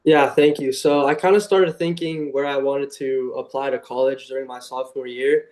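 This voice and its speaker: A late-teen man shares a student testimonial in a fairly flat, mid-pitched voice. He is semi-formal, and the sound is noticeably roomy and echoey. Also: moderate pace. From United States. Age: late teens. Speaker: student guest giving a testimonial.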